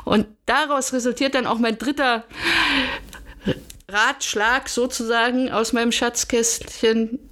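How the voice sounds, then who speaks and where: A woman's voice: a little high, steady tone, measured pace, a bit bright. A woman from Berlin speaking as a podcast guest and coach, giving considered, structured answers in a conversational interview style. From Germany, Berlin.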